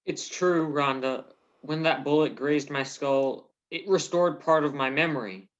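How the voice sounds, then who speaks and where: A man's voice: a little high, a little slow, close to an everyday voice. A man playing an earnest, anguished, slightly dim husband in a radio soap opera parody. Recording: dull lo-fi recording.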